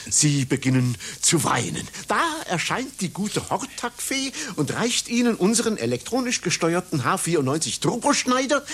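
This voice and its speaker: An actor in a radio satire playing an obsequious, self-pitying advertising man who flatters and persuades earnestly. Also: a little high, husky, heavily acted. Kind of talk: satirical radio play acting.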